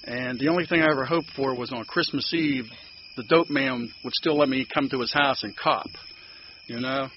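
An older man with a Pittsburgh accent sharing his story at a recovery meeting, rambling and halting with many fillers and restarts. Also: medium pitch. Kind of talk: personal sharing at a support meeting. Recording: dull lo-fi recording with background noise.

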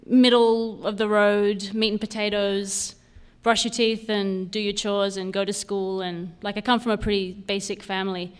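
Clear-voiced Australian woman speaking candidly. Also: medium pitch, little pitch movement, normal speed, hardly any pauses. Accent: Australian with a hint of Canadian. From Sydney, Australia. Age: 30s. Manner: candid, conversational, lightly humorous. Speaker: guest speaker at a recovery convention. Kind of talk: informal personal testimony to an audience.

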